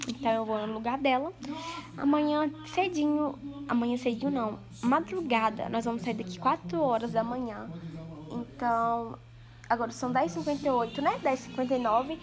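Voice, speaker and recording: Gushing high-voiced young woman vlogging. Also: brisk. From Brazil. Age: young adult. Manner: chatty and gushing, addresses viewers as "gente". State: stuffy nose from a cold. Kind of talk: casual vlog chat to camera. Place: home rooms indoors. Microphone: phone camera at arm's length.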